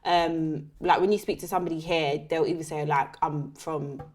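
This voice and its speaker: A woman's voice: low-pitched, fairly even intonation, moderate pace, darkish tone. Chatty young London woman co-host. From United Kingdom. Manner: chatty, frank, acts out quoted lines. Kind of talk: casual chat.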